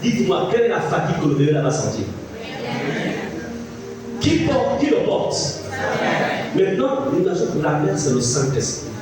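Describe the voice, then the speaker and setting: Animated preaching man in his fifties. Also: fairly high pitch, moderate pace, pauses often, background noise. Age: 50s. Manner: emphatic, repeats phrases, asks the congregation questions. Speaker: pastor. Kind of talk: preaching a sermon. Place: church. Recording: echoey church sound from a distant mic with music.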